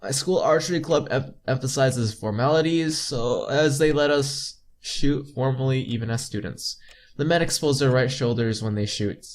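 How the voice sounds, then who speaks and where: A man's voice: medium pitch, moderate pace. A young man hosting a game playthrough, reading story text aloud and commenting on it informally. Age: young adult. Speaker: playthrough host.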